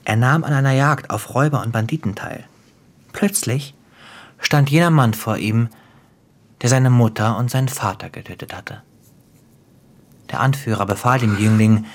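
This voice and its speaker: A man acting in a German radio play as a cruel, gleeful crime boss, theatrical and taunting, with a husky voice that drops into whispers. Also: fairly low pitch. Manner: theatrical, taunting, savoring storyteller.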